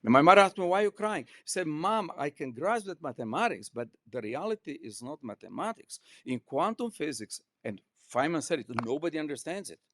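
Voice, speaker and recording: An older man with a Croatian accent, talking as a physics professor in an interview in an emphatic, digressive way, often repeating words haltingly. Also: on the high side, big pitch swings, fairly bright tone, dry recording. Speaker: physics professor, podcast guest. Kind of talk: unscripted, discursive interview talk.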